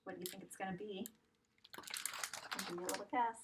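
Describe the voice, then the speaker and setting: A woman teacher reading a picture book aloud to young children, expressive and sing-song, pausing to ask guessing questions. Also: mid-range voice, distant mic, noisy background.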